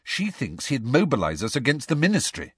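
A man voicing an earnest, impatient teenage schoolgirl with a brisk, tart, insistent manner in an audiobook. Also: medium pitch, wildly swooping pitch, a bit bright, slightly rough, no echo, studio quality, voice pushed up, exaggerated voice. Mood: urgent.

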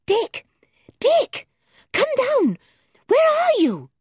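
A woman's voice: very high voice, sing-song, unhurried, dry recording, clean studio sound, voice pushed up, heavily acted. A woman voicing a plucky, anxious young girl who speaks urgently, in a storybook reading. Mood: alarmed. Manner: urgent and breathless. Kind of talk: storybook character voice.

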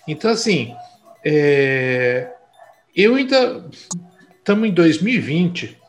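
A man's voice: a little high, sing-song, takes it slowly, faint music behind. Hesitant Brazilian man in conversation. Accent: Brazilian Portuguese. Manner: hesitant, many fillers, reflective. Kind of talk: conversational interview.

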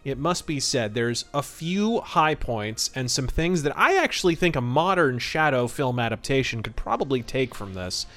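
A man's voice: mid-range voice, sing-song, normal speed, hardly any pauses, a bit bright. A man hosting a podcast, giving commentary in an emphatic, theatrical manner with florid wording. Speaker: podcast host. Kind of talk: podcast commentary, semi-scripted talk.

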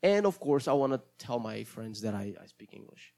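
Gravelly-voiced Brazilian man rambling casually. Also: medium pitch, sing-song, normal speed, a little mellow. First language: Portuguese. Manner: hesitant, rambling, many restarts. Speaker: podcast host. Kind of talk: casual solo podcast monologue.

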